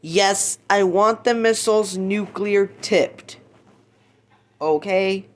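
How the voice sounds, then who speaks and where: A man playing a desperate American official, pleading and halting, in an amateur radio-style drama. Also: high-pitched, voice pushed up. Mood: insistent.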